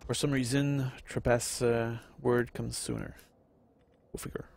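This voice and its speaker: A man's voice: medium pitch, flat delivery, unhurried, gravelly, a little mellow. A man in his thirties with a Quebec French accent, casually commenting on a strategy game and chatting with viewers, rambling with many fillers. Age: 30s. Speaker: gaming video host. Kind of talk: casual let's-play commentary.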